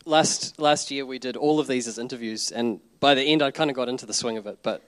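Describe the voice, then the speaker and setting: A man pastor hosting a casual interview in a church service, relaxed and jokey in manner. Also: medium pitch, fairly quick, dry recording. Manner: relaxed and jokey, with many fillers and restarts.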